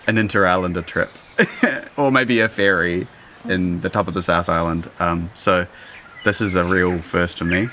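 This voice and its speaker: A man's voice: deep voice, bright, forceful tone, slight background noise. A man, chatting in a casual outdoor interview with many fillers and frequent laughs. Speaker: interviewee, audio engineer. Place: outdoor deck.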